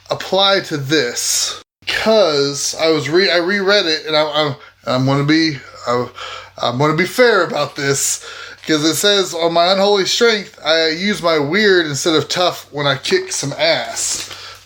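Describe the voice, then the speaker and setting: A man chatting casually as a player in a tabletop game, jokey and thinking out loud, often repeating himself. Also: fairly high pitch.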